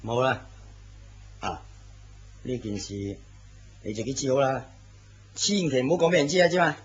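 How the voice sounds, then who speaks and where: An actor playing an arrogant, domineering company president in a radio drama. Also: male voice, medium pitch, animated, moderate pace, husky. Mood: commanding. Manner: curt, domineering. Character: arrogant middle-aged male company president. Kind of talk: Cantonese radio drama acting. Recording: dull lo-fi recording.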